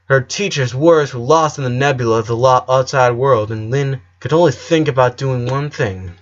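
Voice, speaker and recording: A man's voice: medium pitch, normal speed, highs cut off. A man reading a scripted story aloud as an audiobook narrator.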